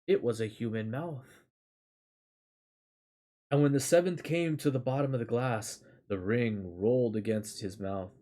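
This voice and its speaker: Male streamer-storyteller, average pitch, informal, rambling, reads tales and chats to viewers. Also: measured pace, pauses often, dark tone. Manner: casual, rambling, swears lightly. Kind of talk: reading a fairy tale aloud, casual livestream chat.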